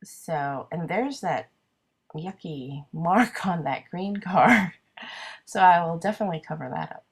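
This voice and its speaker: A woman's voice: fairly low pitch, expressive, a little slow, breathy edge. A congested woman with a cold narrating her card-making casually, thinking aloud and poking fun at her own mess. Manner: chatty, self-deprecating, thinking aloud with many ums. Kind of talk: casual craft video narration.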